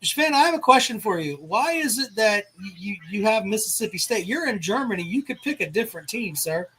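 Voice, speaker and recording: Bright high-voiced male show host. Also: big pitch swings, fairly quick, slight background noise. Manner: friendly, addresses caller as sir. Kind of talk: casual call-in show hosting.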